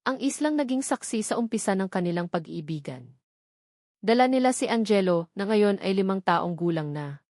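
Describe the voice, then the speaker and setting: Female narrator for a romance audiobook, measured and sentimental, medium-pitched, recorded dry. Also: expressive, darkish tone, dry recording. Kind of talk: scripted romance story narration.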